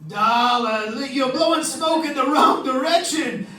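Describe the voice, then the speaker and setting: A woman's voice: high voice, measured pace, pushed and bright. A woman playing a defensive, menacing shady business owner in an old-time radio crime drama, with tough, clipped delivery. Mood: defensive, threatening. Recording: distant, echoey stage with background noise.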